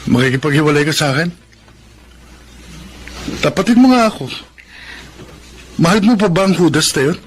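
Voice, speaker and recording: A man's voice: on the high side, lively intonation, moderate pace, long pauses, a little hiss or hum, natural voice. A Filipino man playing a jealous, hot-headed poor young man in love in a radio drama, emotional and accusatory. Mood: jealous, accusing.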